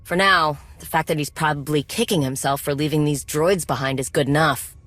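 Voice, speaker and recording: A woman's voice: deep voice, fairly quick, a bit bright. A woman voicing a proud, practical, guarded warrior mechanic in a video game cutscene. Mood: guarded concern.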